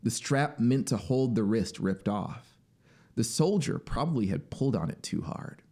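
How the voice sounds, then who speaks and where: A male narrator in his thirties reads a literary story aloud. His mid-pitched voice moves expressively up and down, recorded close on a studio mic. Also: lively intonation, normal speed, warm tone. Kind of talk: dramatic audiobook-style story narration. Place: small home music studio indoors. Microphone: close studio mic on boom arm.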